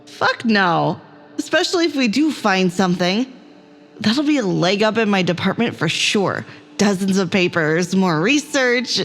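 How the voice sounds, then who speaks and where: A woman's voice: medium pitch, expressive, unhurried. A woman playing an anxious, headstrong academic on a space crew in an audio drama, sweary and argumentative. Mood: playful, wry.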